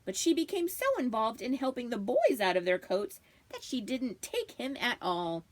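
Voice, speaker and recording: A woman with a slightly high voice reads a storybook aloud. Her pitch moves in lively swings, and she delivers the tale expressively, as a reader for children would. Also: normal speed. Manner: animated, acting out dialogue. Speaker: librarian reading aloud to children.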